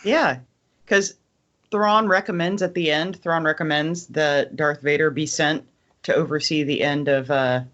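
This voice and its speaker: Mid-pitched podcast panelist, slightly muffled sound. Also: steady tone, unhurried, fairly bright tone. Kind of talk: casual discussion. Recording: dull lo-fi podcast audio.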